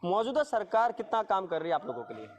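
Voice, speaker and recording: A man's voice: high-pitched, sing-song, fast talker. A man working as a field reporter, asking questions in vox pop street interviews for TV news.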